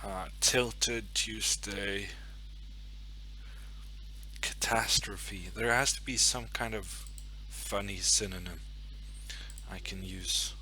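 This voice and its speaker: A young man with a Norwegian accent chats on a live stream, muttering and thinking aloud as he gets ready. His voice is low-pitched yet very bright. He takes his time, with a faint hum behind him. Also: fairly even intonation, takes it slowly, pauses often, rough recording. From Norway. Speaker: chess streamer. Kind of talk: casual live-stream chat.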